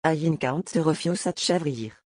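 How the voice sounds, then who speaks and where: A woman's voice: low voice, fairly quick, a little mellow, no room sound. A woman voicing a smug, menacing male slave trader in an audiobook, with formal, condescending courtesy. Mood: cold, courteous.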